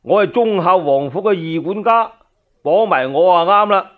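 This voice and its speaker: A man with a Cantonese accent voicing loyal, defiant household servants in a radio story, shouting insistently. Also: high-pitched, lively intonation, normal speed. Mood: defiant, fervent. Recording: dull lo-fi radio recording.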